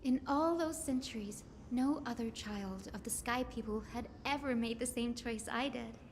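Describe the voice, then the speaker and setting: A woman playing a gentle, hopeful young heroine in a fantasy video game, earnest and tender in the way she talks. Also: fairly high pitch, moderate pace, a little hiss or hum, close to an everyday voice. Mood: nostalgic. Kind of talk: dramatic video game voice acting. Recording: music under the voice.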